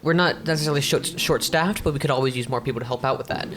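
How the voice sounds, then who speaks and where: A man's voice: medium pitch, rapid-fire, fairly bright tone. A teenage boy explaining his school media club in an easygoing casual chat, with some fillers. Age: teens. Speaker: student club member. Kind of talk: casual round-table chat.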